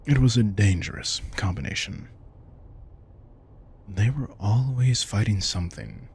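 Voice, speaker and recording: A man voices an ancient vampire king with a very low, creaky voice. He speaks like a wry, protective mentor in an intimate audio drama, reflective and casually frank. Faint background noise sits under the voice. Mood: fond, nostalgic. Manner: casual, reflective, swearing, addresses listener directly. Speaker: audio roleplay performer. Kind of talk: intimate scripted audio roleplay, conversational monologue.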